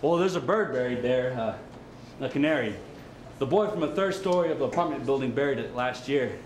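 A man giving a dramatic reading of a somber monologue in a halting, measured way. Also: medium pitch, lively intonation. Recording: echoey room with faint noise.

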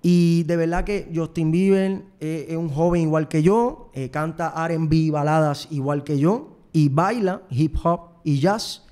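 A man's voice: on the high side, a little slow, few pauses, darkish tone, clear, resonant tone. A young man with a Puerto Rican accent hosting a show in a rambling, repetitive, self-promoting comic monologue, close on a studio microphone. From Puerto Rico. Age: young adult. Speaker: show host and singer. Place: studio set, indoors. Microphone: close desk microphone with headphones.

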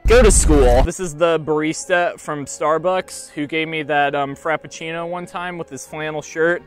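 A young man rambling through a toy unboxing outdoors by a field, joking deadpan and halting with lots of fillers. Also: a little high, soft background music. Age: young adult. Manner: rambling, self-mocking, halting with many fillers. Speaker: YouTuber unboxing toys. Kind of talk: jokey deadpan unboxing vlog. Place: outdoors by a field under trees. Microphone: lapel mic.

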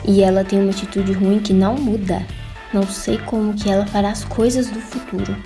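A woman with a Brazilian accent voicing a sly, deadpan teenage girl in a dramatic manga fan dub. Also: mid-range voice, fairly quick, over music, close to an everyday voice. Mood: sulky.

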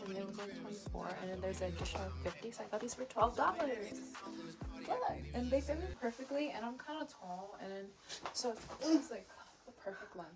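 Casual female vlogger, twenties, informal and chatty, average pitch, prominent music bed. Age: 20s. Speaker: vlogger, dental hygiene student. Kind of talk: casual vlog chat. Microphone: phone at arm's length or propped nearby. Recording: loud music mixed over the voice.

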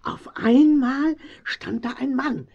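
Eine Schauspielerin in der Rolle einer rundlichen, mütterlichen, redseligen älteren Bauersfrau aus einem Kinderhörspiel. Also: female voice, high voice, takes it slowly, muffled recording, full character voice. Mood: chatty. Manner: garrulous, fussing, uses pet names. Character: stout, motherly, chatty older farmer's wife, kind-hearted. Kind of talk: German children's audio drama acting.

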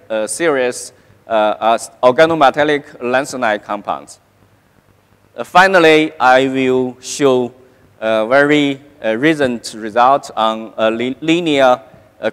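A middle-aged man lectures from a large conference stage, his words coming out very slowly with constant "uh" fillers and restarts. His voice sits at a middling pitch, and a long hall reverb trails behind it. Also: clean tone. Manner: halting, many "uh" fillers and restarts. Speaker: keynote lecturer.

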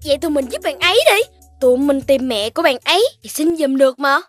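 A perky, sensible little animal child in a cartoon. The childlike voice is extremely high and springs around expressively, with clear music underneath. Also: female voice, lively intonation, quick pace. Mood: helpful. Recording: music behind the voice.

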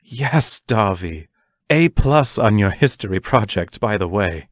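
A man's voice: fairly low pitch, expressive, unhurried, no echo, studio quality. A man playing a wry, teacherly captive in an audio play.

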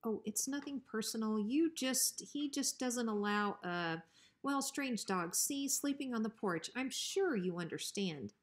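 A woman's voice: fairly high pitch, animated, unhurried. A woman plays an officious storybook guard dog. He is bossy and full of bluster, yet a coward underneath. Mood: nervous flattery. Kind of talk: children's storybook reading.

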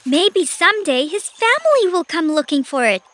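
A woman's voice: very high-pitched, very animated, moderate pace, a bit bright, slight background noise, heavily acted. A cheerful young cartoon girl. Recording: cartoon with music behind.

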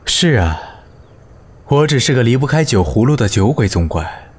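A mid-pitched man's voice , acted, as a weary former general turned steward in a costume radio drama. He is politely evasive and wry, with lively intonation. Clear noise sits behind him. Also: moderate pace, natural voice. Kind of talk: ancient-costume audio drama acting.

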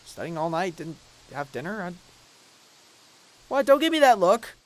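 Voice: mid-range voice, sing-song, normal speed, lightly acted, poor audio. A performer voicing an awkward, sheepish young male dragon in a parody cartoon, hesitant, stammering and casual.

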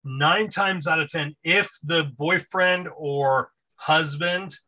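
A man in his thirties with a bright, forward tone, reading from his notes and talking through them on a podcast. He speaks on a studio microphone from a home room full of books, in a steady, even way. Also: a little high, slow pace. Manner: reads quotes from notes in a steady voice. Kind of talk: casual chat, reading aloud. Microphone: headphones with a large studio mic on a boom.

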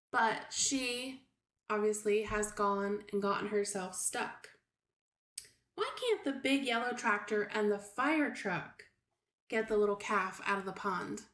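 A middle-aged woman, a preschool teacher, discussing a story with young children through gentle questions. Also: a little high, unhurried, reverberant. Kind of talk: read-aloud discussion aimed at young children.

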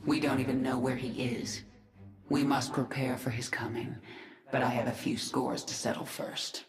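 A woman's voice: a bass voice, level tone, moderate pace. A woman playing a vengeful alien queen who commands a swarm in a video game, grim, terse and authoritative. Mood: grim, determined. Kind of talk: video game character acting. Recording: echoey, over loud game music.

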